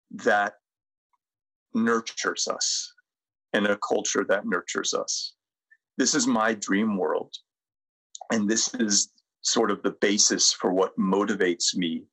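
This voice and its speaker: A middle-aged American man speaking reflectively as a podcast guest, measured and deliberate, with pauses. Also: on the low side, a bit bright, rough recording. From United States. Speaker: podcast guest, sustainability consultant. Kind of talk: reflective interview talk and guided presentation.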